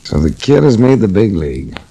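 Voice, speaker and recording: A man's voice: fairly low pitch, big pitch swings, brisk, dark tone, slightly rough, heavily acted. An actor playing a hard-boiled, unflappable male investigator, dry and wisecracking, narrating and talking in a 1940s radio noir. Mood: wry, flirtatious. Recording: noisy restored 1940s radio recording.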